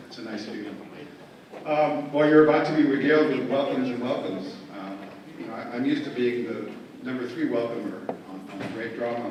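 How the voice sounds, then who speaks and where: Male administrator, distant in an echoing hall. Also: mid-range voice. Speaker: university administrator. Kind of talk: informal welcome speech. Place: large echoing event hall with audience. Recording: very echoey, distant, noisy hall.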